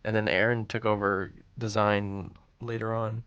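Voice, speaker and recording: A man's voice: fairly low pitch, little pitch movement, normal speed, a bit bright, smooth, no echo, muffled recording. A man chatting casually and self-deprecatingly in a group commentary as a crew member. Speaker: crew member who also voice acts.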